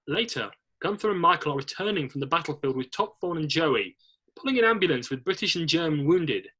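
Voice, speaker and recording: Casting: male narrator for a screenplay reading, bright forceful tone with a husky edge. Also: fairly high pitch, very animated, brisk. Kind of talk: scripted reading of screenplay stage directions.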